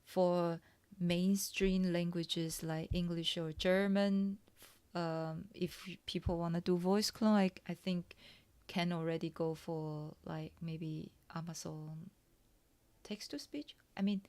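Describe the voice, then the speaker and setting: A woman, a Mandarin speaker, talking softly and hesitantly through a coding tutorial with many fillers and pauses. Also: fairly low pitch, steady tone. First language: Taiwanese Mandarin. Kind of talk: soft-spoken ASMR coding walkthrough.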